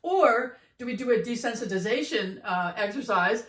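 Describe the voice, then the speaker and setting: Middle-aged lady musing out loud, thoughtful and measured, kinda far from the mic in an echoey room. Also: a little high, expressive. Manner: thoughtful, self-questioning, measured. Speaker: co-host, mother. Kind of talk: reflective casual chat. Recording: roomy, mic at a distance.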